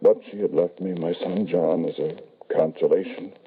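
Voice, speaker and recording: An actor playing an embittered, lonely, grieving father in an old radio drama, speaking formally and deliberately with dramatic pauses. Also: low-pitched, fairly even intonation, husky, phone-quality sound. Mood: brooding, sorrowful. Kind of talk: 1940s radio drama acting, first-person narration. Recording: band-limited vintage radio recording.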